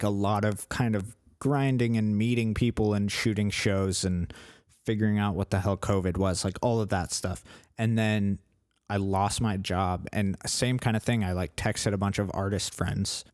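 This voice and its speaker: Man, twenties, very low voice, dry close sound, podcast co-host, earnest and rambling. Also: fairly even intonation, unhurried, darkish tone, clear, resonant tone, dry recording. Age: 20s. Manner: earnest, rambling, with many fillers. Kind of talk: casual chat.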